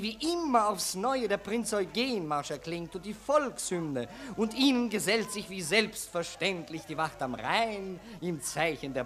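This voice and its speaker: A self-important, excitable Viennese newspaperman in an old 1940s radio play. His voice sits high and swings widely as he declaims like an orator, emphatic and cynical. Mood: bustling, insistent.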